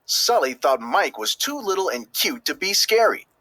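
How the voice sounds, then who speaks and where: A man narrates a children's storybook in a fairly high, very animated voice with a slightly husky edge. His delivery is expressive and emphatic, leaning on key words as he tells the tale. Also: normal speed, no echo. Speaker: storybook reader. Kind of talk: scripted children's read-aloud narration.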